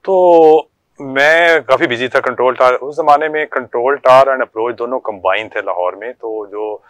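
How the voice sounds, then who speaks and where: A man's voice: medium pitch, normal speed, a bit bright, no room sound. An older man with a Pakistani accent telling stories from his working life as an interview guest, in a conversational way with reported dialogue. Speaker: guest, former air traffic controller.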